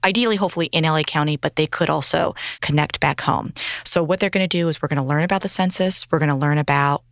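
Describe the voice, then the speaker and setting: Low-voiced woman professor, dry sound. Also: fast, fairly bright tone, no room sound. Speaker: university professor. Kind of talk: interview explanation.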